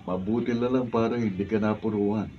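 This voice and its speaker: A man's voice: on the low side, steady tone, normal speed, lightly acted. A man playing a matter-of-fact, admonishing friend in a Filipino radio drama. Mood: steady, advising. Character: steady, advising male buddy of the motorist. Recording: distant dull video sound, faint noise.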